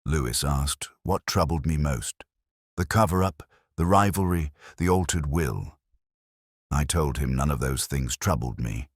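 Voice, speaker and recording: A man playing a melancholy older detective inspector, narrating case notes in a measured, reflective, literary way. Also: a bass voice, breathy edge, dry recording, studio quality, exaggerated voice. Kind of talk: scripted first-person literary narration.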